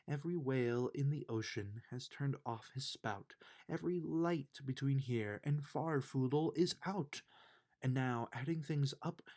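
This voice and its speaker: A man reading a children's bedtime story aloud in an expressive, unhurried way. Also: a little high. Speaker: storybook reader.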